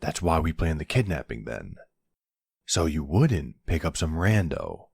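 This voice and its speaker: A man's voice: big pitch swings, unhurried, a little mellow, dry recording, clean studio sound, full character voice. A man playing a weary, exasperated but courteous mafia boss in an ASMR audio roleplay, with wry, colloquial delivery. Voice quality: deep, smooth, gravelly creak. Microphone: close, dry microphone.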